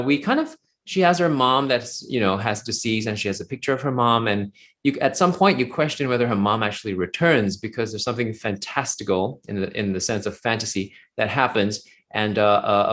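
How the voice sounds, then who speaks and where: A man's voice: mid-range voice, a bit bright. A man hosting his own channel, giving a casual, upbeat film review with frequent fillers and restarts.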